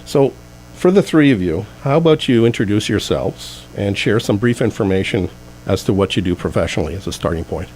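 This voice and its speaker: A man's voice: on the low side, expressive, brisk, darkish tone, slight background noise, rough recording. A man hosting a radio talk show from a studio, reading out contact details in an announcer-like way before talking with guests.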